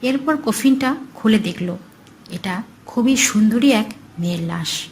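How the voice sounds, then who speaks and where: A woman's voice: a little high, expressive, unhurried, a little mellow, slight background noise. A woman reading a scripted horror story as its narrator.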